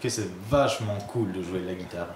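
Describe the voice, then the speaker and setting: A man's voice: deep voice, brisk. A man answering interview questions as a guitar teacher, explaining things informally in casual conversation. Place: indoor studio. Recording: roomy echo, clear background noise.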